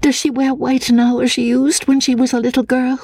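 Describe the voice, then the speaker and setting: A fragile, breathy, childlike young woman. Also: high voice, level tone, moderate pace, dry recording, studio quality, pitched above the performer's own voice. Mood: tender. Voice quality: soft, breathy, husky. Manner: pleading, hesitant, naive, with repeated words. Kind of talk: dramatic audiobook reading.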